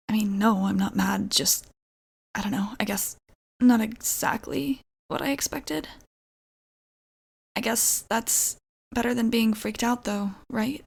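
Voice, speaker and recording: A flustered young female vampire played by a woman in an ASMR roleplay. Her voice sits fairly high with a marked creak. She rambles haltingly and apologetically, nervous and awkward. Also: exaggerated voice. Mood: bemused, calmer.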